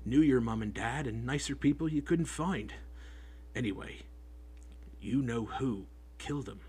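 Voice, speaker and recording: A man reading an audiobook, voicing a big rough-spoken groundskeeper who talks in homely, clipped speech. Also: medium pitch, measured pace, darkish tone. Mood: worried storytelling. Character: big rough-spoken male groundskeeper, gruff but kind. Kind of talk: audiobook character voice.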